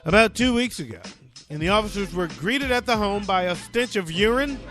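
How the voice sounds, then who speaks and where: A man's voice: high-pitched, animated, brisk, a bit bright, soft background music. A man hosting a podcast, reading odd news stories and adding sarcastic, joking asides. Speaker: podcast host. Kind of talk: comic news commentary.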